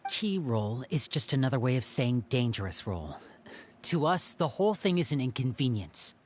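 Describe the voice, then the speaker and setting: Game role: male actor as serious young elder brother, mid-range voice, measured and protective, faint ambient noise. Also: medium pitch, big pitch swings, natural voice. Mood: grave. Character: composed young brother, protective leader of his siblings. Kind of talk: video game dialogue.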